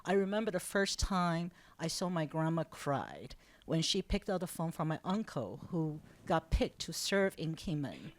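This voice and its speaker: A woman's voice: low voice, sing-song, unhurried, hardly any pauses. A woman in her sixties from Taiwan, a documentary producer, telling a personal story on a panel in an earnest way, with some restarts. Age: 60s. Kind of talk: panel Q&A conversation.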